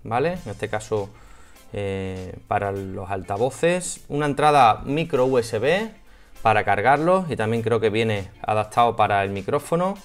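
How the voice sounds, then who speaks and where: A man's voice: fairly low pitch, expressive, a little slow. Casual Spanish man reviewer over music. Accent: Spain. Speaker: product reviewer. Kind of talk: casual unboxing and installation walkthrough. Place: small home office room.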